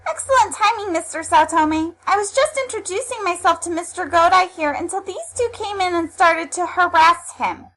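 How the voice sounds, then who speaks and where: A woman's voice: extremely high pitch, normal speed, hardly any pauses, sharp tone, exaggerated voice, poor audio. A woman playing a kind but easily indignant teenage girl in an amateur anime audio drama, exasperated yet polite.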